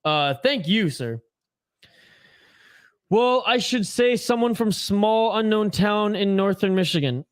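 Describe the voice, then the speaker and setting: A man's voice: high-pitched, lively intonation, takes it slowly, smooth, dry recording, studio quality. A young Canadian man hosting a live hockey watch-along, chatting casually, reading viewer comments aloud and swearing freely. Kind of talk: casual live-stream chat.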